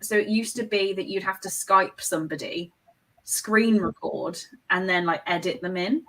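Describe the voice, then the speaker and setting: A woman's voice: mid-range voice, moderate pace, fairly bright tone, rough recording. Young english girl streaming, giggly and rambly, kinda self-deprecating. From England. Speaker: host of a reading livestream. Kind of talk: casual chat on a video call.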